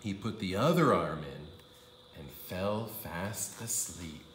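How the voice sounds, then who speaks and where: Animated low-voiced man reading a storybook. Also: slow pace, echoey room, a little hiss or hum. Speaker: kindergarten teacher reading aloud. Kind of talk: animated storybook narration for young children.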